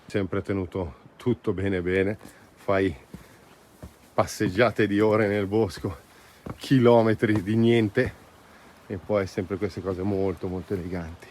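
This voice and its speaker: A man's voice: fairly low pitch, fairly even intonation, takes it slowly, darkish tone. A man chatting casually and enthusiastically to viewers as an outdoor vlog host. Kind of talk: casual chat to camera. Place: mostly outdoors in mountain valley and woods. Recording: noisy outdoor ambience.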